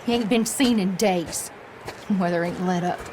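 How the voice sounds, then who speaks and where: A woman's voice: on the low side, normal speed, lightly acted. An actress playing a worried, pleading young frontier woman in a western game. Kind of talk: cinematic western game acting. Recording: faint background noise.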